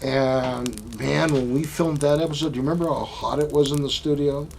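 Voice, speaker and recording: A man co-hosting a casual podcast chat, talking in halting bursts full of fillers and restarts. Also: medium pitch, steady tone, creaky, a little mellow, a little hiss or hum. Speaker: podcast co-host.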